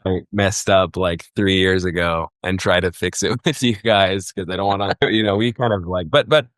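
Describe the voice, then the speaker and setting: A man's voice: sits low, fairly even intonation, normal speed, fairly bright tone, no echo, studio quality. A young man from Egypt talking casually as a podcast guest, rambling with many fillers and restarts. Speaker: guest, festival booker.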